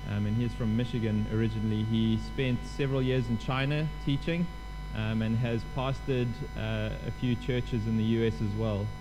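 A man's voice: on the low side, fairly even intonation, normal speed, music in the background, rough recording. A man with a South African accent welcoming a congregation and introducing a guest preacher in a chatty, self-deprecating way. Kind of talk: casual church welcome.

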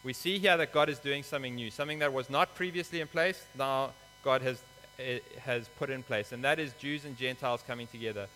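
A man's voice: mid-range voice, a little fast, bright, forceful tone. Man preaching in a hall. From South Africa. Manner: earnest, explanatory, with hesitant fillers. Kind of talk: sermon with scripture reading. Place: church hall. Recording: reverberant hall with mains hum.

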